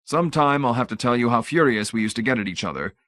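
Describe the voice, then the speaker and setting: A man's voice: medium pitch, lively intonation, quick pace, a bit bright, dry recording, studio quality, voice pushed up, lightly acted. Man acting pompous, timid old research director. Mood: relieved, genial. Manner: grandly intoning, idealistic, fussy.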